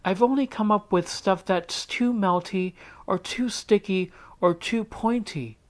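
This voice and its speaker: A man voicing a worried young schoolgirl in a storybook read-aloud, with a high, fretful tone. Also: a little slow.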